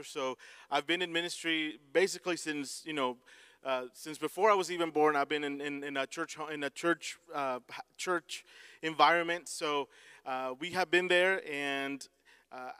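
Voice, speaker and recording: A high, smooth-voiced man with a Mexican Spanish accent addresses a congregation from a church stage. He speaks earnestly and haltingly, circling back and restarting phrases, and his voice carries a long hall reverb. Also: fairly even intonation, sharp tone. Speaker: missionary. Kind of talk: church pulpit talk.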